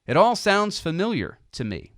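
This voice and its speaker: A man reading scripted political nonfiction as an audiobook narrator, with emphatic, deliberate phrasing. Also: high voice, huge pitch swings, fairly bright tone, dry recording, studio quality.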